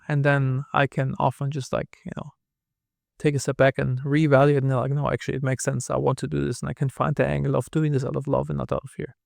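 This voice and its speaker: A man's voice: on the high side, creaky, a little mellow, no room sound, studio quality. A man with a German accent, a podcast guest telling candid, self-deprecating stories. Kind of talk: casual interview talk.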